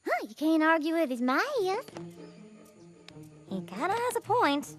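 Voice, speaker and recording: A woman's voice: sits high, wildly swooping pitch, unhurried, heavily acted. A woman playing an impressionable, cheerful young jungle woman in a cartoon. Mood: admiring. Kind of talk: animated cartoon voice acting. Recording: music behind the voice.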